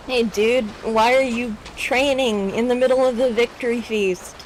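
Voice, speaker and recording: A woman's voice: a little high, steady tone, normal speed, a little hiss or hum, low-quality recording. A woman streaming a video game, giving casual, irreverent commentary and swearing. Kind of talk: casual let's-play commentary.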